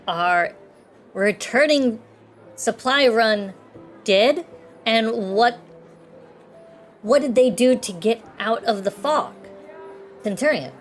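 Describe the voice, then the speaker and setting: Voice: medium pitch, voice pushed up. A game master voicing a nervous, evasive island mayor who stalls and hesitates. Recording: faint music behind.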